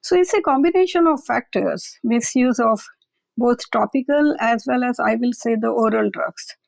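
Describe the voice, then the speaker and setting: Researcher, female, raspy-edged higher voice, careful explanatory interview manner. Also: normal speed, no room sound. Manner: careful, explanatory, repeats "I will say". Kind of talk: conversational expert interview.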